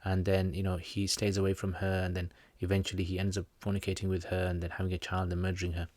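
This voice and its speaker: Brief: male livestream host and teacher, low-pitched, dry close sound, jokey and rambling. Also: completely flat delivery, quick pace, darkish tone, dry recording. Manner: jokey, rambling, with slangy asides. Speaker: Islamic teacher hosting a livestream Q&A. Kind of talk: casual livestream chat, answering viewer comments.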